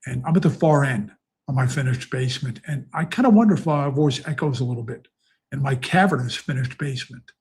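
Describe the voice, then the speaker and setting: A man's voice: mid-range voice, brisk, darkish tone, breathy edge. A middle-aged man talking casually on a live stream from his basement home studio, rambling and thinking aloud with many fillers as he handicaps horse races. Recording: echoey basement room.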